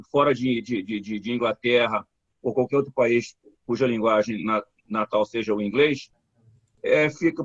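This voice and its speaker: A man's voice: medium pitch, no echo. Guy hosting an online meetup, keeps repeating words, kinda muffled dry call sound. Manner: halting, many repeated words and "tá?" checks. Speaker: community event host. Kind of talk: live online meetup talk. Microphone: video call. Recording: dull, dry call audio.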